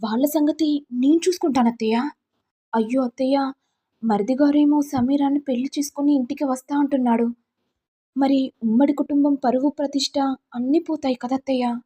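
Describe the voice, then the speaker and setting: A woman's voice: high voice, steady tone, normal speed, warm tone, voice pushed up, lightly acted. A woman voicing a scheming young daughter-in-law in a family story, gloating and falsely sweet. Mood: feigned worry. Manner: gloating, mock-concerned.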